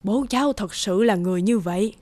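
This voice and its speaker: A woman playing a solemn elderly woman painter in a cartoon drama, with a measured, grave delivery. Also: mid-range voice, mellow, dry recording, lightly acted. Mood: grave, disapproving. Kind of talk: dubbed cartoon drama acting.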